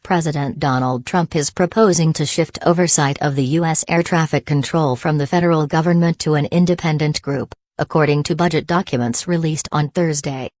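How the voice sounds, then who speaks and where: Low-voiced woman reading the news. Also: fairly quick, few pauses, dry recording. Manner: steady, uniform read with no fillers. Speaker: news reader. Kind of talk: scripted news narration.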